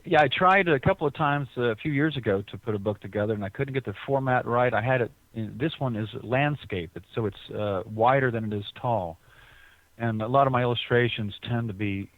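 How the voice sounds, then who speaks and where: A man with a fairly low voice talks as a guest on a call-in interview, rambling and often restarting his sentences. His voice comes through a tinny, narrow phone line. The sound is dry and close, with no room around it. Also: a little fast, no echo. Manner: rambling, frequent restarts and repeated words. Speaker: guest artist. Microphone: telephone.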